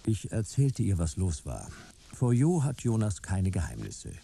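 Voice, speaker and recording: A man's voice: low-pitched, huge pitch swings, measured pace, vocal fry, dark tone, slightly rough, full character voice. A man playing a detective who narrates his own case in a terse, wry noir style for a radio drama.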